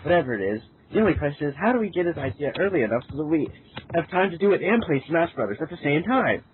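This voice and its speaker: Lively man on a tinny line. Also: fast. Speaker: amateur reader voicing a character in a fan fiction. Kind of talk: amateur script reading mixed with casual chat. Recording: tinny, noisy low-grade voice chat line.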